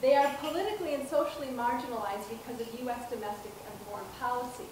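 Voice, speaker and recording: Middle-aged female lecturer, soft-spoken, slightly high voice, picked up from afar in a very echoey auditorium with room noise. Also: recorded from a distance. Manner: soft-spoken, measured, with frequent ums and restarts. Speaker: professor giving a public talk. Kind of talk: lecture to an audience. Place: dark auditorium stage with projector screen. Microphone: handheld mic, recorded from a far camera.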